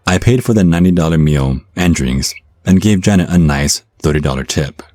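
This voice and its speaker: Very deep-voiced, wry American guy played by an actor. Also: a little mellow, no room sound. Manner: wry, casual, sometimes flustered. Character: easygoing, sarcastic, smitten American man on a date. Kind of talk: audio roleplay acting with first-person narration.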